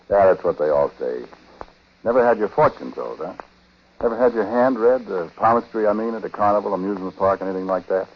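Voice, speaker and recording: An American actor playing a chatty, world-weary husband who confides in the listener in slangy, folksy talk, in 1940s radio drama style. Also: male voice, fairly low pitch, brisk. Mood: wry, confiding. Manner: colloquial, folksy, slangy, intimate direct address. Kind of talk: 1940s radio drama acting, confiding first-person narration. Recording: dull, hissy 1940s radio recording.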